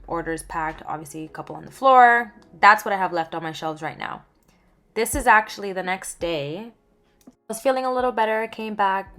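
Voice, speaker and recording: A young woman who runs a small skincare business, chatting candidly in a vlog voiceover, her voice swinging lively in pitch and loudness. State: just over laryngitis. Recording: voiceover with faint background music.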